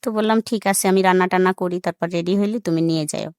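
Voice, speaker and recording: A woman's voice: on the low side, fairly even intonation, fast talker, darkish tone, clean tone, no room sound, studio quality. A woman with a Bangladeshi accent recounts her life story as an interview guest, speaking colloquially and acting out remembered dialogue. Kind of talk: personal storytelling in a radio interview.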